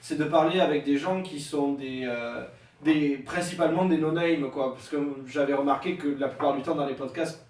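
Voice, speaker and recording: A man's voice: on the high side, moderate pace, darkish tone. A young man hosting a casual podcast, hesitant, with many fillers and restarts, and stuffy from a head cold. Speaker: podcast host. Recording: echoey room, mic at a distance, faint noise.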